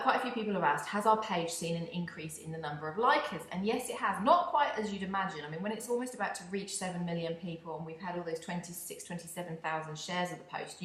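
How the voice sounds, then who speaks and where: A woman from the UK with a British accent, hosting a solo podcast in a chatty, expressive way with wry asides. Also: on the low side. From United Kingdom. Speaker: podcast host. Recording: echoey room.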